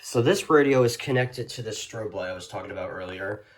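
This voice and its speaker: A man's voice: lowish voice, fairly quick, a little hiss or hum. A man giving a casual, rambling showcase of his collection, talking informally and hesitantly as he presents each item. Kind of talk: casual product showcase narration.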